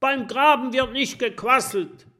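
Ein Mann spricht einen tyrannischen Piratenkapitän, der herrisch brüllt. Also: male voice, lowish voice, unhurried, fairly bright tone. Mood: bellowing. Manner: bellowing, bossy. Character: loud tyrannical male pirate captain.

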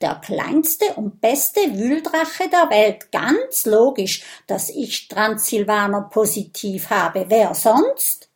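A woman voicing a tiny male burrowing dragon from a children's story, boastful yet jittery, excitable and prone to stammering. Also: on the high side, takes it slowly. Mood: proud. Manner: excitable, stammering, self-important.

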